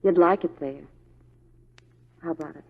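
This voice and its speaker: A woman's voice: deep voice, moderate pace. A sultry, worldly saloon woman, speaks in a cool, teasing manner. The western radio play has a dull, vintage recorded sound. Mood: coy, inviting. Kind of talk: 1940s radio acting.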